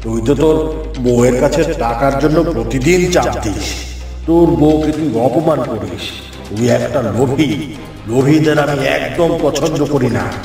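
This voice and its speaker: A menacing cave spirit king speaks in a heavy, creaky voice, taunting and passing judgment. The cartoon delivery is slow, set far back in deep echo with music behind it. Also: a little mellow. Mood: cursing. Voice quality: heavy, gravelly voice. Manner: slow, taunting, booming pronouncements. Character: menacing cave king spirit, judging and cursing trapped men. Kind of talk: cartoon fairy tale acting.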